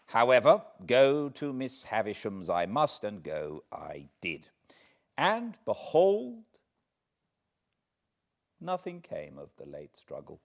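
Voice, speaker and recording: A British man reading classic literature aloud as a narrator, in a measured, dramatic manner with deliberate pauses. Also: fairly low pitch, big pitch swings. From Britain. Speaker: audiobook narrator, host of fireside readings. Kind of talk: expressive literary storybook reading.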